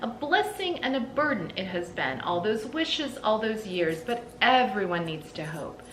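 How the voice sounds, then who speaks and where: A woman voicing a small, philosophical animal in a storybook, frank, measured and emphatic. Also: big pitch swings. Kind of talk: storybook character reading. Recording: roomy echo, background rumble.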